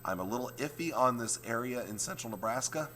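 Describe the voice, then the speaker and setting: A male meteorologist, hoarse and struggling with his voice, walking viewers through the morning forecast. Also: mid-range voice, expressive, brisk, pushed and bright, slight background noise. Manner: explains maps step by step, repeats points.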